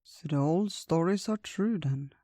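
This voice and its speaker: A storyteller voicing a wise, gentle old male bear in a theatrical, drawn-out way for a children's tale. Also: medium pitch, dry recording, clean studio sound, lightly acted. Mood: curious. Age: older.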